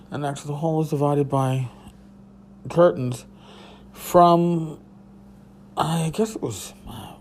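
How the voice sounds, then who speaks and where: A tired man with a hoarse voice, hosting a casual solo podcast from a hotel room and rambling loosely with a bit of swearing. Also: mid-range voice, a little mellow, slight background noise. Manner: rambling, profane, restarts. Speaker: podcast host.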